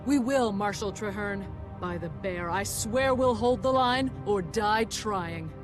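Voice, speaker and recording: A woman's voice: mid-range voice, slow pace, close to an everyday voice. An actress playing a brave, devout shaman warrior in a video game, earnest and stout-hearted. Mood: resolute. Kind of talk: dramatic video game cutscene acting. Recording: voice over loud game music.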